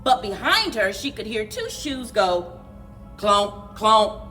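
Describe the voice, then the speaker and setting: A woman reading aloud as a library story time host, with expressive, dramatic, deliberate children's narration and playful sound words. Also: medium pitch, soft background music.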